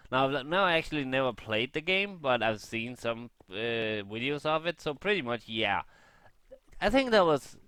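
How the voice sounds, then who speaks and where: A man giving a casual, rambling review as a gaming vlogger, unscripted and halting, thinking aloud as he goes. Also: medium pitch, sharp tone, no room sound.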